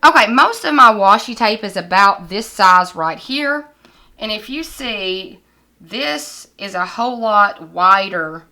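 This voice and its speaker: A young woman talks through a planner haul in a bright, forward voice. Her voice is hoarse and scratchy after being sick. She chats casually and holds items up to the camera. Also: medium pitch. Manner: chatty, shows items to camera. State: hoarse, recovering from lost voice. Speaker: planner vlogger. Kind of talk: casual product haul vlog. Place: indoors at a desk with lighting kit.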